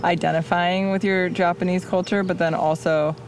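A woman from California hosting a podcast, reading a scripted intro and then asking gentle, curious questions in a casual interview. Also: fairly low pitch, fairly even intonation, moderate pace, slight background noise. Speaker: podcast host. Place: clawfoot bath outdoors under a tree.

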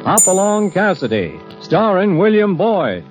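A man's voice: high-pitched, sing-song, unhurried. A man narrating and announcing a vintage radio Western in a dramatic, declamatory scripted style. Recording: muffled old radio recording, music behind. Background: music.

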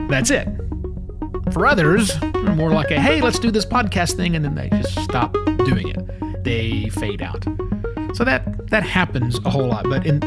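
A man speaking as an expert, explaining casually and emphatically, repeating words for emphasis. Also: on the high side. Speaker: podcasting expert.

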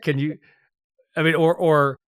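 A man's voice: fairly high pitch, flat delivery, brisk, clear, resonant tone, dry recording, clean studio sound. A man hosting an interview, curious and rephrasing the guest's points to check understanding. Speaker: interviewer host. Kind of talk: conversational interview.

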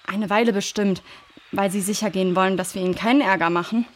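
A woman's voice: mid-range voice, fairly even intonation, quick pace, close to an everyday voice. A woman playing a protective, knowledgeable female forest guide in a children's radio play, explaining things in a reassuring way. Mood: explanatory.

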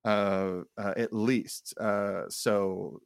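A man co-hosting a casual chat about game mastering, talking in a halting, rambling way and often repeating words. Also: on the low side, fairly even intonation, gravelly, smooth, no echo, clean studio sound. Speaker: podcast co-host.

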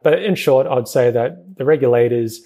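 Dark, husky-voiced New man. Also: mid-range voice. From New Zealand. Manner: rapid speech with many fillers and restarts. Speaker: guest, crypto investment executive. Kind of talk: interview answers.

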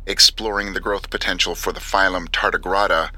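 A man playing a sharp-voiced, clinical scientist. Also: deep voice, fairly even intonation, a little fast. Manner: formal, clinical. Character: dry, formal scientist reading lab notes. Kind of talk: audio drama recorded scientific note.

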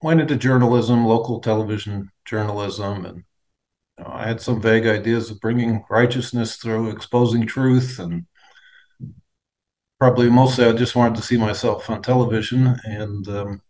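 American man, 50s, lowish voice, measured and hesitant faith testimony as a guest. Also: fairly even intonation, a little mellow, low-quality recording. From United States. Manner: measured, hesitant with frequent fillers. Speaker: guest, aid organization leader.

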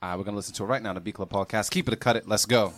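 A man's voice: lowish voice, rapid-fire, loud music behind the voice. A man co-hosting a beat podcast, joking and hyping up his guests in casual chat. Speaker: podcast co-host.

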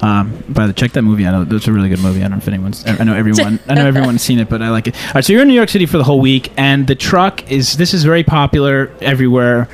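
A man's voice: medium pitch, very fast, few pauses. A man hosting a radio show in a casual, conversational way, rambling a little and reworking his phrases as he goes.

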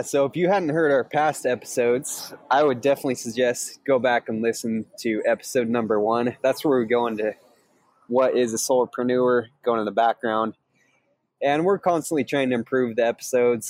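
An upbeat, energetic man co-hosts a casual chat and explains his trade with easy enthusiasm. His pitch sits in the middle range. The recording is dry and close, with no echo. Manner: upbeat, energetic, laughs easily. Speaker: podcast co-host, sales trainer. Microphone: remote call, each on own mic.

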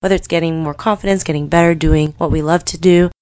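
An upbeat woman hosts an interview podcast, encouraging her guest and saying "you know" often. Her voice sits a little low, and the sound is dry and close. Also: fairly even intonation, fast talker, a little mellow, clean tone, no echo. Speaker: podcast host.

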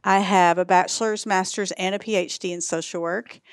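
A middle-aged woman from East Texas with a Texan accent, a guest in a relaxed, friendly interview who laughs lightly. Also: medium pitch, moderate pace, no room sound, studio quality. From East Texas, USA. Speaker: guest, social work school director. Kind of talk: casual interview chat.

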